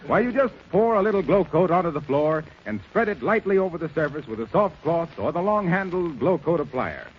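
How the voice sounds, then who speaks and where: Animated radio-pitchman mailman, muffled sound. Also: brisk, hardly any pauses. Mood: upbeat selling. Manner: cheerful, persuasive, polished sales patter. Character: smooth-selling mailman pitching household floor polish. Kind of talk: 1930s radio advertising in character. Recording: muffled, noisy 1930s radio recording.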